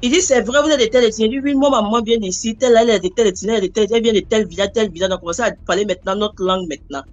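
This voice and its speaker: Fast-talking woman telling a story. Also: mid-range voice, muffled recording. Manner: rambling, animated, acts out quoted voices. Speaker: caller telling a personal story. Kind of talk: casual spoken storytelling.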